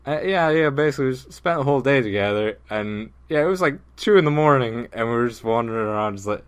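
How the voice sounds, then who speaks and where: A young man co-hosting a casual comedy podcast, chatting and telling stories in a halting, giggly way. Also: medium pitch, lively intonation, clean tone. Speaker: podcast co-host.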